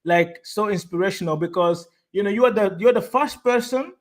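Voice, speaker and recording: A man hosting a live online interview, excitable and repeating himself, often stopping to restart a phrase. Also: high voice. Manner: enthusiastic, repeats words, halting restarts. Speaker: host of a live online show. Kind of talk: casual interview.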